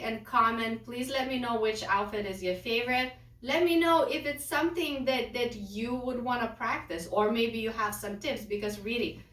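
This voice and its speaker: A mom vlogger from Lithuania, speaking English as a second language in a rambling, casual chat with quick restarts. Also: female voice, fairly high pitch, a bit bright, roomy sound, distant mic, rough recording. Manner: rambling, quick restarts, non-native phrasing. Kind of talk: casual chatty vlog.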